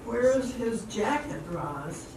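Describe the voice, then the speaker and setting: A woman playing a romantic leading lady in an old-time radio drama, with slow theatrical delivery, picked up from a distance in a roomy space. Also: on the high side, lively intonation. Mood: playfully bossy. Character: Hannah, lovelorn young woman turned playfully bossy sweetheart. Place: live amateur performance in a hall. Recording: roomy, distant stage mic with faint noise.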